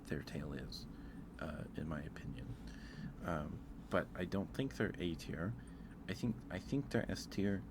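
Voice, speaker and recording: A soft-spoken man hosting a casual ASMR livestream, rambling and thinking out loud in a hesitant way. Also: sits low, background noise. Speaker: livestream host. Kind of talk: casual ASMR livestream chat, ranking aloud.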